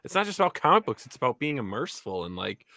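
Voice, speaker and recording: A man chatting casually on a livestream with informal, excitable banter. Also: medium pitch, fast talker, vocal fry.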